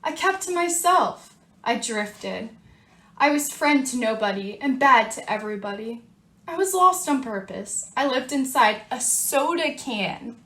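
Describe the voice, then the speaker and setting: A woman's voice: high-pitched, lively intonation, a bit bright, roomy sound. Woman voicing a dramatic, self-declared bad little seed. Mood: brooding. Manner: dramatic, drawn-out confessional storytelling.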